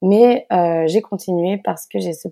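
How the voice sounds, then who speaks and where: A woman's voice: on the low side, measured pace, vocal fry, mellow. A woman in her late twenties with a Belgian accent, a brand founder chatting openly as a podcast guest, correcting herself as she goes. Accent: Belgian French. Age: 20s. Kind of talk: conversational podcast interview.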